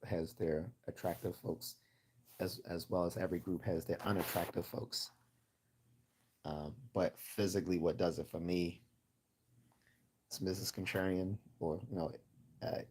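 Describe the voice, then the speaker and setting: A man with a generic Midwestern American accent chatting casually and giving his opinions as host of a livestream. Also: slight background noise. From United States. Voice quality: deep, husky, mellow.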